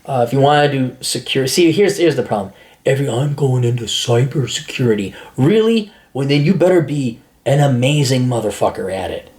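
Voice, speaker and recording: A man's voice: medium pitch, expressive, a little fast, a little mellow. A man hosting a podcast, giving blunt, profane career advice in a rambling, casual monologue full of restarts.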